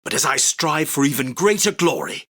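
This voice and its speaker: A man's voice: on the high side, expressive, moderate pace, pushed and bright. A male voice actor playing an arrogant, grandiose self-proclaimed king of card duelists, with a pompous, commanding, theatrical delivery for a video game. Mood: solemn, proud. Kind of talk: video game character battle lines.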